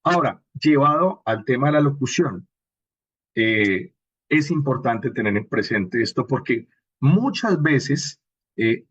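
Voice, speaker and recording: A Colombian man teaches in an informal, explanatory way, pausing for little check questions. His voice is a bit husky and slightly on the high side. The audio is dull and muffled. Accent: Colombian Spanish. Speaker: workshop instructor on radio drama and podcasting. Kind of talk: informal spoken lecture.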